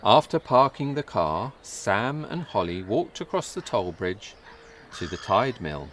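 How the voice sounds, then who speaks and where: A man reads a story for young children in a lowish voice. He goes very slowly and carefully, with plenty of rise and fall in his pitch. There is light background ambience with some birdsong. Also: sing-song, a bit bright, a little hiss or hum. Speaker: storybook reader. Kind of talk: scripted children's story narration.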